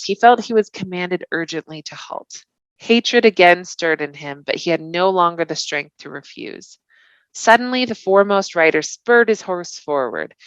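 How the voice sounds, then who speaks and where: A woman reading a novel aloud as scripted bedtime narration, with deliberate, expressive, dramatic phrasing. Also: lowish voice, no room sound, dull audio. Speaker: volunteer storybook reader.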